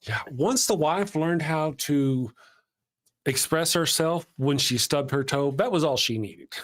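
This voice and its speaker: A man's voice: on the high side, measured pace, a bit bright. A man hosting a live stream, chatting casually and rambling in a self-deprecating way. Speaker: host of a live show.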